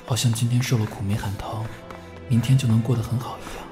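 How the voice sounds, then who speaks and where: A man's voice: medium pitch, steady tone, fast talker, lightly acted. A young man acting as a weary, guarded male student in a Chinese audio drama. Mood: melancholy. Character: weary, guarded male student narrating his hard youth. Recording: roomy sound under loud music. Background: music.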